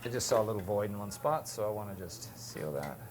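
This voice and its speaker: Low-voiced dentist explaining, over loud hiss. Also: male voice, fast talker. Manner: calm, explains each step to patient and viewers. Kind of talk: narrating a dental procedure.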